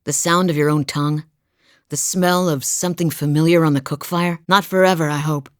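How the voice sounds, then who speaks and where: A woman playing a wry, steady huntress from a cold northern village in a video game, speaking with dry humor. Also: low voice, fairly quick, dry recording, natural voice. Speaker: video game companion character. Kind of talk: game voice lines.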